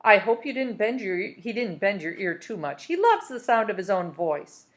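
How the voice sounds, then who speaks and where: A woman's voice: mid-range voice, very animated, moderate pace, natural voice. A woman reading a storybook aloud as a very old, welcoming, slightly mysterious woman with a melodious way of speaking. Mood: kindly, inviting. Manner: welcoming, gently coaxing.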